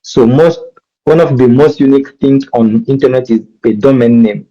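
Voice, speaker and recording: A man's voice: medium pitch, steady tone, moderate pace, dark tone, dull audio. A man from DR Congo with a French accent, teaching a live coding workshop and often stopping to correct himself mid-sentence. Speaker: workshop instructor.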